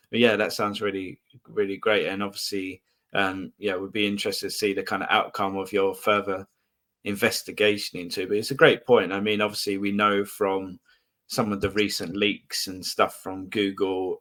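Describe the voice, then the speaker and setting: A man hosting an interview, chatting casually with plenty of fillers. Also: on the low side. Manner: relaxed, lots of fillers like "you know" and "um". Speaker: podcast host.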